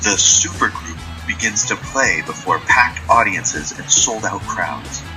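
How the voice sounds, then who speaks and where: A man's voice: on the low side, lively intonation, measured pace, over music, rough recording. A man narrating a scripted audio drama as a storyteller.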